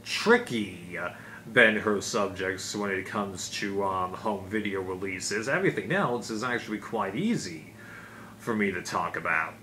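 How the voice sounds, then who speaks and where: Casual man rambling over clear background noise. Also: fairly low pitch, measured pace, sharp tone. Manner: rambling, conversational, with asides. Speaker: video reviewer of home video releases. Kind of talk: casual unscripted collection vlog. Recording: clearly noisy amateur recording.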